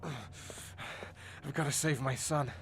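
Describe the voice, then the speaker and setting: A man's voice: mid-range voice, extremely slow. A man playing a desperate father in a video game, determined to save his child. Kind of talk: video game cinematic acting. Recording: loud noise over game audio.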